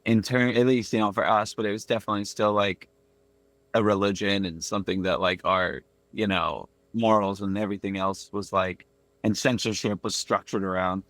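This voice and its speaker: Animated thirties man, casual guest. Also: fairly low pitch, moderate pace, no room sound. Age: 30s. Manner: animated, peppered with "like" and "dude". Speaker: guest musician. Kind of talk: casual podcast chat. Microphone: remote call.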